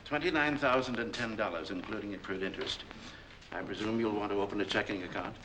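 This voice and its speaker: An actor playing a formal, courteous bank official in a 1940s film, with a dry, measured manner. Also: male voice, lowish voice, lightly acted. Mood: brisk, formal. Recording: noisy 1940s film soundtrack.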